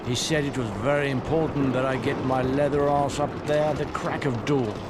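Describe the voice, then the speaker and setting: A man's voice: medium pitch, fairly even intonation, moderate pace, close to an everyday voice. Guy playing a grumbly cheeky fantasy builder, medium voice, video game banter, really noisy background. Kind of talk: video game cutscene acting.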